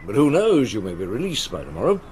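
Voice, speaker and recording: An actor playing a calm, shrewd, courteous French chief inspector in a radio drama, speaking in a measured, politely probing way. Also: male voice, mid-range voice, slight background noise. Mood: genial, measured.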